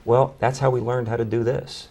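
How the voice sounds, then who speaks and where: A man who plays bass in a tribute band, talking eagerly in an interview and often repeating words as he starts his sentences. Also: flat delivery, moderate pace, vocal fry, dark tone. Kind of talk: interview conversation.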